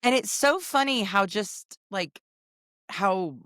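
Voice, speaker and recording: A woman in her forties with a deep voice, co-hosting a podcast in casual, blunt chat, swearing and laughing often. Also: expressive, slow, a bit bright, dry recording, studio quality. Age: 40s. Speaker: podcast co-host.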